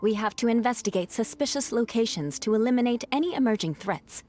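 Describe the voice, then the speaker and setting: A woman's voice: fairly high pitch, lively intonation, brisk, a little mellow, soft background music, natural voice. A woman playing a proud, composed young female knight captain in an anime game, formal and matter-of-fact with a slightly haughty edge. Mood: businesslike.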